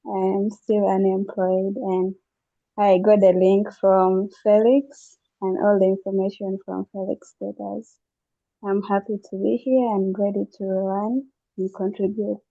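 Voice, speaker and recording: Young woman, Ugandan accent, flat even intonation, informal introduction, thin phone-like audio. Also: medium pitch, measured pace, gravelly, dry recording. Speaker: forum participant, recent graduate. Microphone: video call microphone. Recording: phone-like narrow online call audio.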